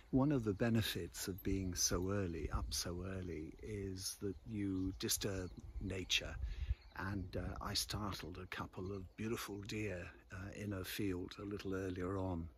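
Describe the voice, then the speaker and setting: A man with a deep, low-pitched voice chats casually, like a host talking to his viewers. He is unhurried and hesitant, wandering off his thread and back again. The recording is made outdoors, with faint ambient noise behind him. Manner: unhurried, hesitant, easily sidetracked. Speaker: vlog host and local magazine columnist. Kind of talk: rambling casual morning chat to camera. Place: outdoors in the countryside above a valley.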